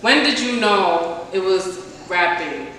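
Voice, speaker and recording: A woman's voice: fairly low pitch, lively intonation, takes it slowly, a bit bright. A woman hosting an interview in a chatty, upbeat and playfully teasing way. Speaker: interviewer, video host. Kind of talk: casual interview. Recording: echoey distant room sound with faint noise.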